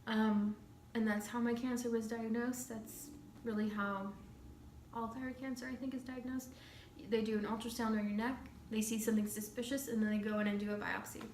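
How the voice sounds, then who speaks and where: A woman's voice: a little high, level tone, brisk, darkish tone. A young woman with a slight cold, chatting casually to camera in a loose, rambling way with many fillers. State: has a slight cold, clears her throat. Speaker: vlogger sharing her recovery. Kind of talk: casual talking-to-camera update. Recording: echoey room with faint hum.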